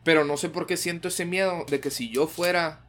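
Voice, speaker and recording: A young Mexican man who talks quickly in relaxed podcast chat. He thinks out loud, restarting his sentences as he works through his ideas. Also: on the high side. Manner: reflective, hesitant, self-correcting. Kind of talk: casual chat.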